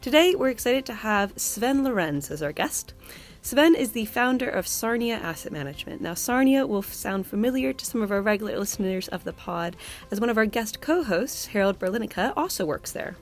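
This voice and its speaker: A woman opens a podcast with a bright, scripted introduction. Her pitch is mid-range, and soft music plays faintly underneath her voice. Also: expressive, a little fast. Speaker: podcast host introducing the episode.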